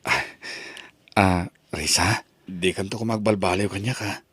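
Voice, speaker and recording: A man playing a shy, tender young college student in love, speaking softly and hesitantly in an Ilocano drama. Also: low voice, fairly even intonation, a little slow, husky, dry recording, exaggerated voice. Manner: soft, hesitant. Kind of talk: Ilocano radio-style drama acting.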